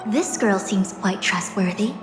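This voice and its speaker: A woman's voice: mid-range voice, unhurried, close to an everyday voice. A woman voicing a composed, haughty and cryptic sage in a video game, speaking in formal, philosophical phrases. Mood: calm, cryptic. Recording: echoey hall reverb, music behind.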